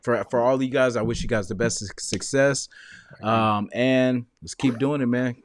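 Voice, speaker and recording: A man's voice: mid-range voice, fairly even intonation, normal speed. A man hosting a fan podcast, laid-back and thanking his guests. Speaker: podcast host. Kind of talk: casual podcast sign-off.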